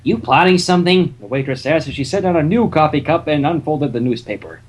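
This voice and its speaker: A man's voice: on the high side, expressive, fast, darkish tone. A man co-hosting and narrating a live fan fiction reading, with casual banter between passages. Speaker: narrator and co-host.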